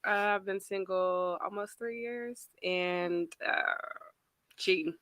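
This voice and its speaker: A woman's voice: medium pitch, steady tone, slow, fairly bright tone, no room sound. A woman of thirty-one from Fort Worth, Texas, chatting casually as a dating show contestant. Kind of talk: casual chat.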